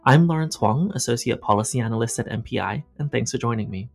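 A man's voice: medium pitch, quick pace, creaky, a little mellow, soft background music. A man with an Australian accent speaking as a podcast host, presenting and interviewing on a policy show. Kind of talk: scripted podcast introduction and interview.

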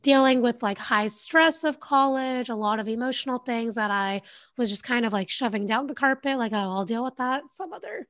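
Young woman in her 20s, podcast guest, talkative, fairly high voice. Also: fairly bright tone. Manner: chatty storytelling, lots of "like", quick bursts. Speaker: podcast guest, health coach. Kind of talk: casual interview chat.

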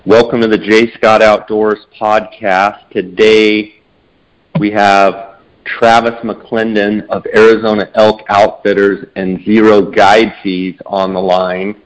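Hoarse, low-voiced male podcast host. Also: flat delivery, slow, lo-fi sound. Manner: earnest, filler-heavy, repeats words. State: hoarse, sore throat. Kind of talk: podcast interview intro.

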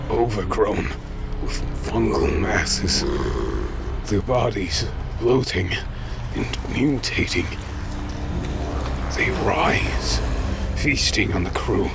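An actor playing a desperate, sardonic space pirate on the run, narrating his own audio drama in dramatic turns from hush to yell. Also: low voice. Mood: horrified, dread. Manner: dramatic, breathless shifts from hushed to yelling. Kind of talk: first-person audio drama narration and dialogue. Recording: loud music bed behind voice.

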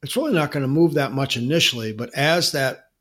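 A man co-hosting a podcast, answering a listener question in a relaxed, explanatory way with frequent restarts. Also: medium pitch, brisk. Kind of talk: casual podcast chat.

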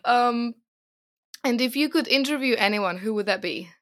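A woman's voice: a little high, moderate pace. Woman interviewer asking brief questions.

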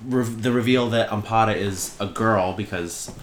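A man's voice: fairly low pitch, normal speed. A man co-hosting a podcast in casual chat, making dry sardonic remarks. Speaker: podcast co-host. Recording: echoey room with faint noise.

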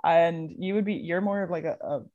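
A woman's voice: on the low side, brisk, mellow, muffled recording. A woman co-hosting a podcast in casual chat, laughing often through her lines. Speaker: podcast co-host.

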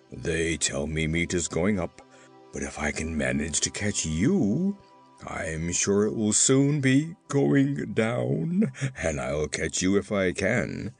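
Hungry man-eating giant for a storybook: bottomless husky rumble, slow, greedy, mournfully wheedling. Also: heavily acted. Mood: wailing, mock-hurt.

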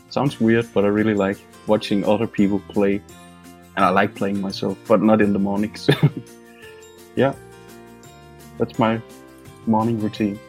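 A man, a band member answering in an unhurried way with light chuckles. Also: on the low side, little pitch movement, a little mellow, faint music behind. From Denmark.

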